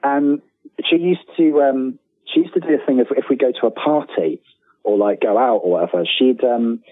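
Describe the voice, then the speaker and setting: A man's voice: mid-range voice, moderate pace, no room sound. A middle-aged man talking casually as an interview guest, rambling, self-deprecating and enthusiastic, over a thin phone-like line.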